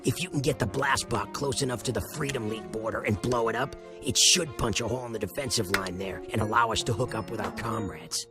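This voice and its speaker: A man doing a brisk rebel commander. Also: lowish voice, music in the background, close to an everyday voice. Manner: brisk, military. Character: gruff male resistance commander.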